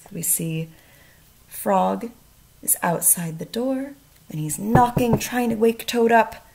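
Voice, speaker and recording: Woman, first grade teacher, children's read-aloud, expressive, questioning, highly varied pitch. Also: takes it slowly, creaky, low-quality recording. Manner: expressive, asking the listener questions.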